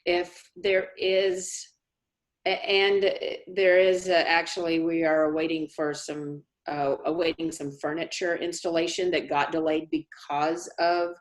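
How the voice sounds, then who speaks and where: A woman speaking as a college dean, answering questions in a measured, reassuring, explanatory way on a video call. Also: low voice. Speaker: college dean hosting a town hall. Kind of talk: informal question-and-answer briefing. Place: remote online meeting.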